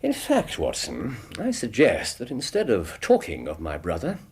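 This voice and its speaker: An actor playing a brilliant, self-assured English gentleman detective in a radio drama, lecturing precisely with theatrical emphasis. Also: fairly low pitch, wildly swooping pitch, normal speed, slightly rough, exaggerated voice. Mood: emphatic.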